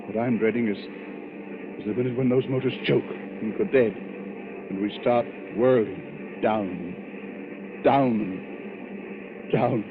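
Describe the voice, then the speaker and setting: A man's voice: lowish voice, slow, pauses often. Blustery, nervy rich man, tinny sound. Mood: nervous dread. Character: irritable, anxious rich older businessman passenger. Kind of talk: 1940s radio drama acting. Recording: tinny, noisy old radio recording.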